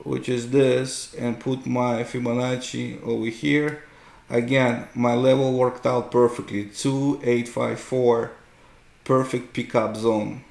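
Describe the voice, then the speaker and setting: Slow, gravelly man explaining charts. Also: medium pitch, level tone, darkish tone. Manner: explanatory, often checks with "right?". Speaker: host, market analyst. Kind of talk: unscripted chart tutorial commentary. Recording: roomy, echoing room sound.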